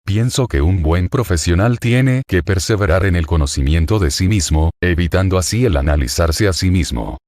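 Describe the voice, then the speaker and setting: A man from Argentina with an Argentine accent, a psychoanalyst reading a scripted self-interview in a solemn, deliberate, self-assured way. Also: deep voice, animated, darkish tone, no room sound. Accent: Argentine Spanish.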